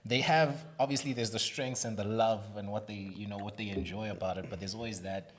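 A man's voice: lowish voice, fairly even intonation, a little fast, slight background noise. A man co-hosting a casual podcast chat, laid-back and slangy, often echoing and affirming what others say. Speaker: podcast co-host.

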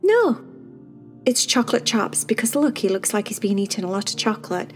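A woman's voice: mid-range voice, very animated, brisk, music in the background. A woman voicing a cheerful male character who eagerly shows off and explains his new pet.

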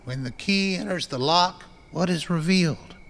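A man's voice: sits high, moderate pace, a bit bright. Man acting a wary doctor in heavy reverb. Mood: probing, urgent. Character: wary, irritated male doctor probing a rival. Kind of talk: radio drama acting. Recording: long hall-like reverb.

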